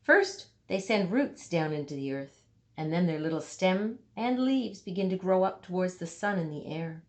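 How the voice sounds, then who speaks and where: A woman reading a children's picture book aloud, with dramatic emphasis and deliberate pauses for young listeners. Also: fairly low pitch, animated. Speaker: storybook reader.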